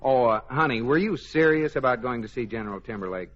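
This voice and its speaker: A good-natured, wry husband in an old-time radio comedy. His voice is medium-pitched, on a dull vintage recording. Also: animated, fast, a bit bright. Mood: wry teasing. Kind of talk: 1940s radio comedy acting.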